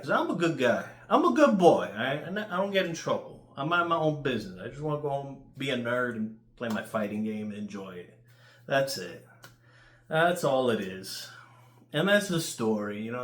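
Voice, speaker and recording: A man with a New York accent telling casual, rambling stories in an animated, crude way, swearing freely. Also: medium pitch, roomy sound. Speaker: YouTuber.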